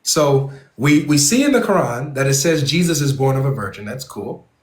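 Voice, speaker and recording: A man's voice: mid-range voice, expressive, moderate pace, roomy sound. A man hosting an online debate panel who presses his point with repeated, probing questions. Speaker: debate host.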